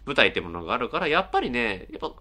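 A man's voice: medium pitch, wildly swooping pitch, racing, pushed and bright. A man chatting casually as a radio co-host.

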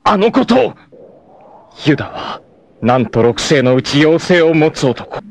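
A man's voice: a little high, big pitch swings, unhurried, gravelly, exaggerated voice. A man voicing a proud, stoic young male martial artist who is dying, in 1980s TV anime style, speaking in grave, solemn declarations with sudden anguished outbursts. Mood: strained. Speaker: voice actor. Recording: old TV anime audio with hiss.

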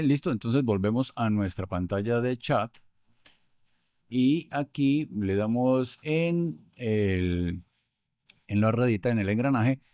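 A man, hosting a tutorial in an upbeat, conversational way with a few hesitations, recorded on a studio mic on a boom arm. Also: darkish tone, no echo. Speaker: host. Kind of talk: tutorial explaining restaurant software. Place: studio room.

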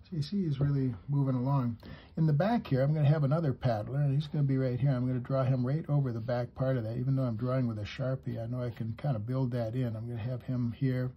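A man giving an unhurried, step-by-step drawing lesson for kids, talking as he draws and pausing mid-sentence, with a husky voice. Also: mid-range voice, steady tone, muffled recording. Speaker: drawing teacher.

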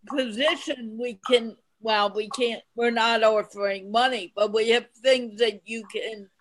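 An older woman co-hosting a conversation on a video call, speaking slowly and deliberately in an encouraging way. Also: on the high side, steady tone, rough recording. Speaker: co-host.

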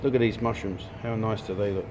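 A man's voice: on the low side, steady tone, fairly quick, background noise. A man in his forties, filming a casual food vlog on a handheld phone inside a restaurant, chatty and enthusiastic, repeating words for emphasis. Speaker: vlogger. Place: restaurant indoors. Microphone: handheld phone camera.

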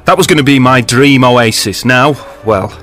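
A man's voice: medium pitch, normal speed, fairly bright tone, faint music behind, close to an everyday voice. A man voicing a weary middle-aged father who rambles and falters dejectedly.

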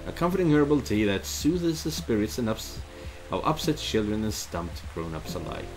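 A man's voice: fairly low pitch, normal speed, rough recording. A middle-aged man giving casual commentary on a game he plays, reading its text aloud expressively. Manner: expressive, exclaiming. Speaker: streamer playing a visual novel. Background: game music and rain sounds.

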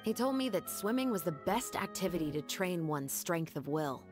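A woman voicing a stoic young patrol captain in a game, speaking in a calm, measured, reserved way. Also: on the low side, close to an everyday voice. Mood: solemn. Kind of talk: anime game dub. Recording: game audio under music.

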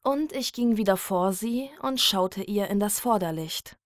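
A woman narrating a scripted first-person horror story in a measured, dramatic manner. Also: medium pitch, no echo, clean studio sound.

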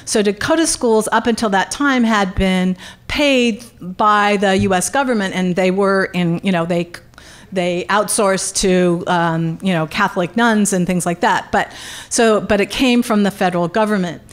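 A middle-aged woman speaks at a podium microphone in a conference room, giving an animated history lecture. Her voice sits in a middle register, and her delivery is loose and conversational, with frequent fillers and self-corrections. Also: medium pitch, normal speed. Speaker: guest lecturer. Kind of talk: public history lecture with audience questions. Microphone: podium microphone on stand.

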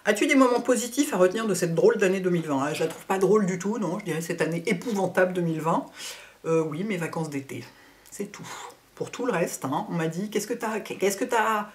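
A woman's voice: fairly low pitch, lively intonation, brisk. A middle-aged woman chatting casually to camera in a Q&A, blunt and opinionated in colloquial speech. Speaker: beauty vlogger. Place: living room at home, indoors.

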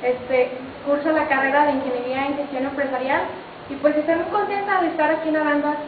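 A woman's voice: high voice, steady tone, a little fast. A young woman with a Mexican accent introducing herself as a pageant contestant. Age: young adult. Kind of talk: self-introduction. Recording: tinny, very echoey, noisy distant recording.

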